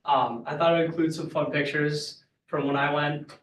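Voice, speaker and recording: A man's voice: fairly high pitch, fairly even intonation, moderate pace, a bit bright, slight background noise. A young man, a college senior, casually and upbeatly describing his photos, with many fillers. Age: young adult. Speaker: student speaker. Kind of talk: casual talk about photos. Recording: echoey room, distant mic.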